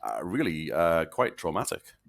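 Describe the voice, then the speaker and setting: A man's voice: deep voice, big pitch swings, moderate pace, gravelly. A man co-hosting a podcast, asking questions politely in casual conversation. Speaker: podcast co-host. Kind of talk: casual chat.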